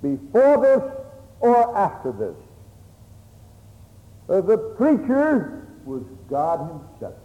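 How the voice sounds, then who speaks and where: A man preaching a solemn, oratorical Bible sermon, telling the story emphatically in short, halting phrases. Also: high voice, wildly swooping pitch, narrow, tinny audio. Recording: echoey hall with long reverb.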